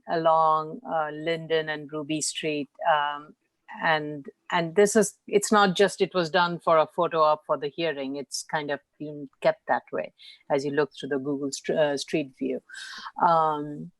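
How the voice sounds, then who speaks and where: A woman board commissioner speaking in a measured, hesitant way with many fillers at a public hearing over a teleconference call. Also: low voice, no echo.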